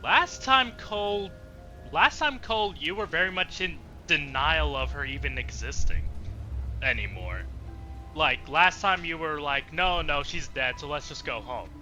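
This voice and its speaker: Bright, excitable man playing a game. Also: on the high side, a little slow, soft background music. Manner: chatty, excitable, swears and jokes at the game. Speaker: let's play host. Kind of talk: casual gameplay commentary.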